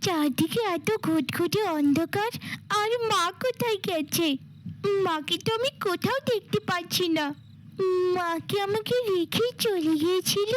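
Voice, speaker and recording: A woman's voice: very high voice, measured pace, a little hiss or hum, rough recording. A woman voicing a devoted, timid little girl in a cartoon fairy tale, with a pleading, childlike manner. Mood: frightened, forlorn. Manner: pleading, childlike, affectionate way of addressing mother.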